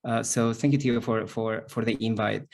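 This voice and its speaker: Chilean-accented man, middle-aged, spanish native, lively storyteller, ceo guest on a call. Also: mid-range voice, fairly quick, vocal fry. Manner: lively, storytelling, frequent restarts. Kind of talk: business interview on a video call.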